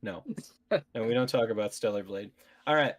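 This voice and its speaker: A man's voice: lowish voice, normal speed. A man hosting a casual gaming podcast, chatting informally with his co-hosts. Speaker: podcast host.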